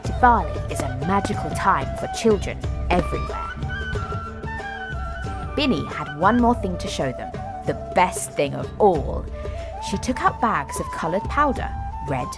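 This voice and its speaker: A woman reading a children's storybook aloud in an expressive, dramatic way, softening to a hush at times. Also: fairly low pitch, measured pace. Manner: animated, dramatic delivery with softened hushed moments. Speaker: storybook reader. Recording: music behind the voice. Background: music and story sound effects.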